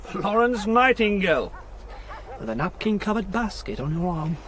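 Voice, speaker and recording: Earnest idealist gentleman, high voice. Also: very animated, moderate pace, slight background noise. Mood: awkward. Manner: earnest, rhetorical, well-spoken. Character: idealistic, fiery, self-doubting young gentleman agitator. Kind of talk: radio drama acting.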